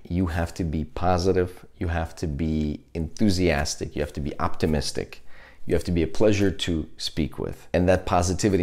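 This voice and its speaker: Guy in his 30s coaching people on a video, super deep voice, leans on the key words, lapel mic. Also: darkish tone. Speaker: host, product management career coach. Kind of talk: instructional talk to camera. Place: small indoor room, plain dark backdrop.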